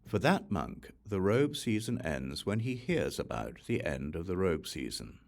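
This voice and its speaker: A man reading a scriptural audiobook in a measured, reciting manner, breaking sentences into short phrases. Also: low-pitched, very animated, vocal fry. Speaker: audiobook reader.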